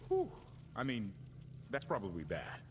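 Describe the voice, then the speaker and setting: A wry captain, lowish voice, breezy, game audio with hiss. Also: male voice, huge pitch swings, measured pace.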